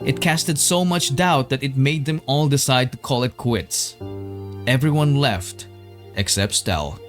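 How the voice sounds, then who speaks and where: A man reading scripted documentary narration in a measured, solemn way. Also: mid-range voice, soft background music.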